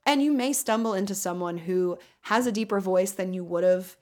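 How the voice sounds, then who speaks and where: A deep-voiced woman co-hosting an advice podcast, talking frankly in casual chat and laughing easily. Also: normal speed, darkish tone. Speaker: podcast co-host.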